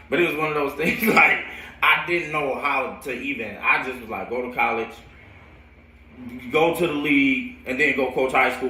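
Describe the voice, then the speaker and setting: A man's voice: mid-range voice, sharp tone. An American man in his late twenties telling stories casually in a slangy, rambling way, often repeating his phrases. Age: late 20s. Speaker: football coach telling his own stories to viewers. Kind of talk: casual storytelling livestream. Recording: roomy echo, distant from mic.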